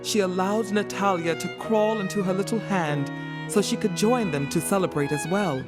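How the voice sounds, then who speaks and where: A woman narrating a scripted children's storybook in an expressive, measured storytelling manner. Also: mid-range voice, over loud music.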